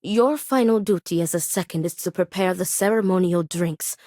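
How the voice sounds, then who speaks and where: An actress voicing a grave, principled and devout woman in a video game, speaking in formal, measured, stern phrases. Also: lowish voice, a little mellow, no room sound, studio quality, natural voice. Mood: grave, earnest. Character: grave, principled woman acting as duel second, devout, weary. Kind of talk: video game character dialogue.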